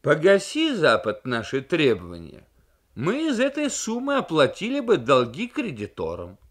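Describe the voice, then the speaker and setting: Man acting an emphatic Soviet negotiator, pitch swinging high. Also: huge pitch swings, moderate pace, fairly bright tone, exaggerated voice. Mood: indignant, insistent. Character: Soviet diplomat, emphatic male negotiator pressing his argument. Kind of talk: dramatized audiobook reading.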